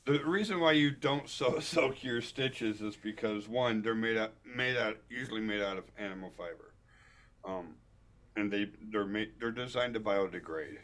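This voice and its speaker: A man giving casual, mocking commentary as a co-commentator, explaining things in a halting, dry way. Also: lowish voice, fairly bright tone. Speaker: co-commentator on a book-club stream.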